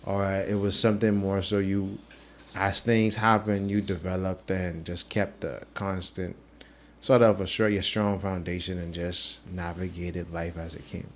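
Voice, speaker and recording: Deep-voiced casual podcast host. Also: male voice, flat delivery, unhurried. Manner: loose, rambling questions full of fillers. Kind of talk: casual interview questions.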